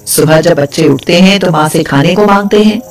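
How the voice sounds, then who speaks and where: A woman's voice: on the low side, fairly quick, soft background music. A woman narrating a scripted folk tale as a storyteller.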